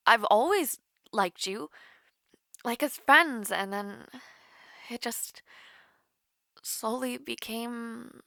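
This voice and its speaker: Woman acting a flustered young woman, creaky voice. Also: a little high, pushed and bright, no echo, clean studio sound, full character voice. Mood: shy, relieved. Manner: halting, flustered, breathy sighs and whispers. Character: nervous, smitten young woman confessing to online friend. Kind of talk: intimate romantic audio roleplay.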